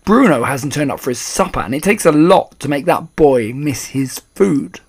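Man acting an angry, blustering English father, high-pitched. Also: animated, moderate pace, breathy edge. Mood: angry, suspicious. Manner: rude, shouting, spluttering, stammering when shocked.

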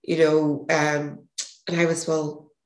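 A woman with a gravelly, hoarse voice, a podcast guest telling a rambling story and correcting herself as she goes. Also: low-pitched, flat delivery, slow, darkish tone. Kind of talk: casual interview storytelling. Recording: echoey room.

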